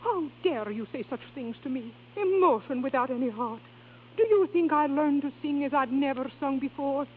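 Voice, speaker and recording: An actress playing a glamorous, teasing opera singer and estranged wife in a theatrical 1930s radio style. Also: female voice, high-pitched, normal speed, close to an everyday voice. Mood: wounded, reproachful. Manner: theatrical, coquettish. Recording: scratchy, tinny 1930s radio recording.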